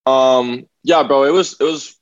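A man's voice: mid-range voice, unhurried. A young man in his twenties, a singer who is a podcast guest, chatting casually with lots of "like" and "um". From New Jersey, raised in Hawaii. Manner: rambling, many "like" and "um" fillers. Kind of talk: casual interview chat. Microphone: video call. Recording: dull video call audio.

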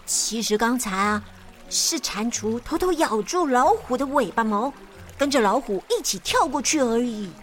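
A woman's voice: on the high side, animated, moderate pace, faint music behind, natural voice. A woman voicing an observant woodland animal, a storybook fox or bear, explaining in a matter-of-fact way.

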